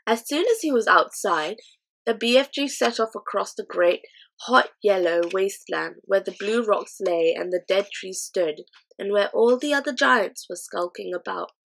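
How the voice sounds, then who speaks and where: Give me a woman narrating a children's storybook with a mid-pitched voice. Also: lively intonation, moderate pace, gravelly, a bit bright, low-quality recording. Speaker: audiobook reader.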